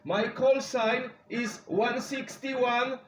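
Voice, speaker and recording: A man's voice: very high-pitched, steady tone, drawn-out words, echoey room, a little hiss or hum, low-quality recording. A man from Poland with a Polish accent, a native Polish speaker working as a CB radio operator, making contact calls in short, repeated procedural phrases.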